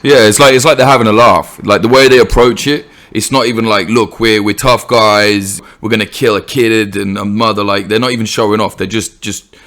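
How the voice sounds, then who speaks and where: A male podcast host with a fairly low, gravelly voice. He talks in a loose, casual way and is blunt when he reacts. He moves between quick reactions and questions for his guest. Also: fairly even intonation, fairly bright tone. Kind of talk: interview conversation.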